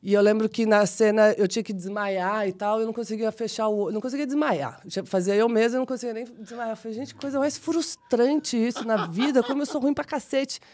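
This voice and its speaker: Casting: woman, 40s, São Paulo Brazilian accent, lively self-mocking guest storyteller. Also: a little high, fast talker, hardly any pauses. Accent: São Paulo Brazilian Portuguese. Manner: chatty, animated, self-deprecating, frequent restarts. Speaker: guest, model and actress. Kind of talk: casual interview storytelling.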